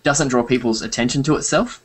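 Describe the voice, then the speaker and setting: A young man on a video call from a room at home, explaining at relaxed length with fillers. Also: mid-range voice, fairly quick, husky. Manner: relaxed, rambling with fillers and laughs. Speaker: guest, audio editor. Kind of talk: casual video-call chat.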